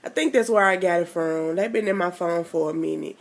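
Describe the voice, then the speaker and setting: A woman's voice: on the low side, a little fast, creaky. A woman, chatting casually to camera in a rambling way, nasal and stuffed up from a cold. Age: 20s. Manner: rambling, chatty, lots of fillers. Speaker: vlogger.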